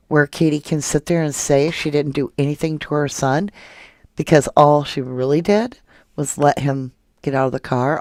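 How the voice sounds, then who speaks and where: A woman's voice: low voice, a little mellow, dry recording. An indignant woman hosting a true crime stream, reading viewer comments and firing rhetorical questions. Her voice is close and dry, with no room sound around it. She talks casually and keeps pressing her point. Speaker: true crime channel host.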